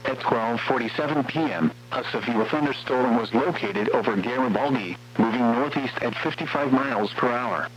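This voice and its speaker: A synthetic male voice reading scripted weather warning bulletins in a formal, flat manner as an automated radio announcer. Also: mid-range voice, moderate pace. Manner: formal, flat synthetic text-to-speech delivery. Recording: muffled, noisy weather radio with hum.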